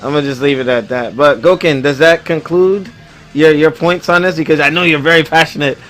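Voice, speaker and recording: A man's voice: on the high side, fairly quick, fairly bright tone, soft background music. A man hosting a casual gaming panel chat, talking in a slangy, conversational way. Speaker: podcast host.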